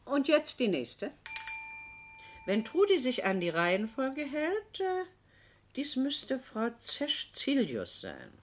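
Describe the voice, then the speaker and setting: A woman's voice: sits high, wildly swooping pitch, slow, a little hiss or hum, heavily acted. An actress in a radio play playing a solicitous, officious bureau woman with a fussy, soothing, formal manner. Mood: fussy, polite. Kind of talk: German radio play acting.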